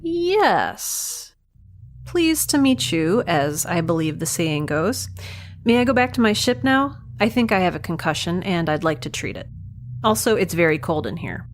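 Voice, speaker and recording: A woman's voice: fairly low pitch, sing-song, measured pace, a little hiss or hum. A woman playing a captive young scientist in a science fiction audio drama, wry, plain-spoken and sarcastic as she bargains. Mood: wary, dry.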